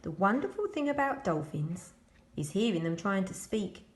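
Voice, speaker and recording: A woman reading a children's picture book aloud as a school storyteller, expressive and addressing young children. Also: medium pitch, normal speed, echoey room.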